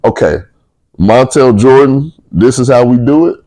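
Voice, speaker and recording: A man with a deep voice hosting a podcast interview, relaxed and upbeat, laughing often. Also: a little slow. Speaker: podcast host. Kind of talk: casual interview chat.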